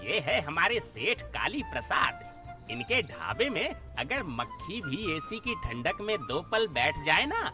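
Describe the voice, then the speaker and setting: Slow-talking, cheeky, whiny servant. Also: male voice, on the high side, huge pitch swings, over music, exaggerated voice. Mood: cheeky, confiding. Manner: whining, wheedling, cheeky. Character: whiny, cheeky, work-shy comic clerk servant. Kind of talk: exaggerated comic cartoon acting.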